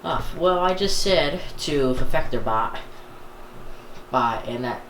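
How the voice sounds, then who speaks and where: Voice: medium pitch, lively intonation, takes it slowly, a bit bright. A person talking casually and off the cuff for a vlog, rambling and often restarting sentences. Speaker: video creator showing a radio collection. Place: indoor room. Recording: echoey room with background noise.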